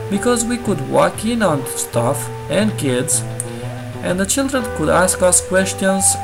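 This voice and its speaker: A man's voice: on the high side, gravelly, rough recording. A man hosting a channel and reading entitled-parent stories aloud in a casual, jokey way. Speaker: host. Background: guitar music.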